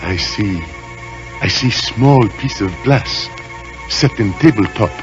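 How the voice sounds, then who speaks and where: A giant loyal servant man, solemn, halting broken english, old radio serial, bit of music behind. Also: fairly low pitch, highs cut off. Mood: awed. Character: giant, loyal male manservant, solemn and simple. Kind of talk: 1940s radio acting.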